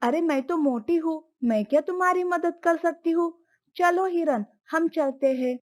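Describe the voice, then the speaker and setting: A woman voicing a sarcastic female elephant in an animated moral story. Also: very high voice, unhurried. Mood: mocking. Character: big female elephant, sarcastically refusing to help.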